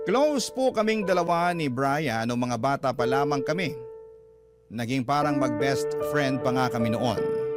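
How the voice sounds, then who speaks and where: A man's voice: mid-range voice, lightly acted. A male actor with a Filipino accent playing a regretful older brother, narrating his own story in a radio drama. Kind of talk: scripted first-person drama narration. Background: prominent background music.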